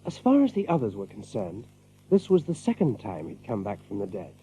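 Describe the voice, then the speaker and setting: A man narrating a scripted audio drama as a storyteller, with measured, dramatic delivery. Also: lowish voice, wildly swooping pitch, warm tone, husky, full character voice. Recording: muffled recording with music behind.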